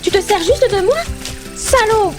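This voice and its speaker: Audio comedy role: excitable young female companion, shrill high voice male performer, clear music bed. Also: measured pace. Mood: furious. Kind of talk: amateur audio comedy adventure acting. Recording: voice under prominent music.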